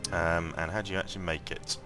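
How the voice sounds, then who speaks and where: A man with a croaky morning voice giving casual gameplay commentary, thinking aloud and rambling with lots of ums and restarts. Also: sits low, little pitch movement, quick pace, music in the background. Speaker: mobile game let's-play host.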